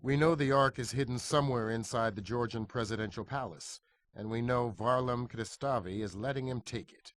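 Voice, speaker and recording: An actor playing a businesslike, authoritative intelligence chief briefing a field agent in a spy game. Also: male voice, mid-range voice, normal speed, close to an everyday voice.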